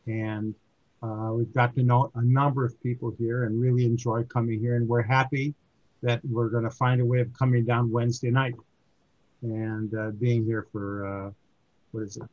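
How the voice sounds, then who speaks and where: A man in his seventies, born in Germany and raised in the United States, giving an informal talk to a community group in a halting way, with many restarts and fillers. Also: mid-range voice, little pitch movement, highs cut off. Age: 70s. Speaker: book reviewer giving a talk.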